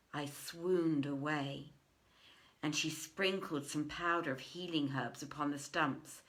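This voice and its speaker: Middle-aged woman, theatrical storyteller, very unhurried reading, somewhat low pitch, deliberate emphatic phrasing. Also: fairly bright tone. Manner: deliberate, dramatic, with emphatic pauses. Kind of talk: theatrical live reading of old tales in archaic English. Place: indoors, living room by a fireplace.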